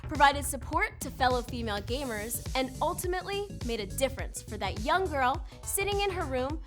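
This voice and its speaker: A woman's voice: high voice, animated, a little fast. A woman reading scripted documentary narration as a host. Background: music.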